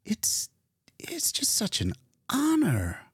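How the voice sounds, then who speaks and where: A man's voice: high-pitched, huge pitch swings, slow pace, slightly rough, dry recording, clean studio sound, pitched above the performer's own voice, heavily acted. A man voicing a demure, humble, earnest woman in a storybook reading, shy and folksy in manner. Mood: flustered, humble. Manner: shy, plain-spoken, folksy.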